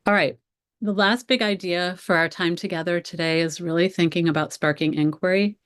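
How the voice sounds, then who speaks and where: A woman's voice: fairly low pitch, steady tone, fairly quick, smooth, no room sound, studio quality. A middle-aged American woman teacher presenting an educational webinar in a conversational, explanatory way, speaking directly to her viewers.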